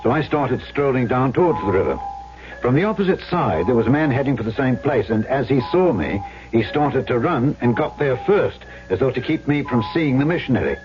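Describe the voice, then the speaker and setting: Dull vintage radio sound, actor playing a rugged trader, dramatic first-person storytelling, animated male voice. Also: medium pitch, a little fast, over music, lightly acted. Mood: vivid, heated. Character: rugged trader recounting his own adventure.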